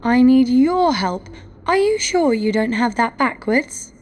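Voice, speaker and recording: An actress in an audio drama playing a cocky, foul-mouthed adventurer, mocking and sarcastic. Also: female voice, on the high side, sing-song, a little slow.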